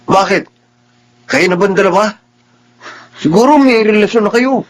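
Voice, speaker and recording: High-voiced pushy jealous young suitor, acted by a man. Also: normal speed, close to an everyday voice. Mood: jealous, accusing. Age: young adult. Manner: pleading, accusing. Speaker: radio drama actor. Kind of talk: Filipino radio drama acting.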